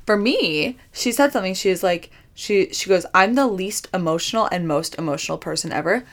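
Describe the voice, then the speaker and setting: A woman's voice: medium pitch, expressive, fairly quick. A young woman co-hosting a podcast in casual chat, talking loosely with lots of "like" fillers. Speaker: podcast co-host.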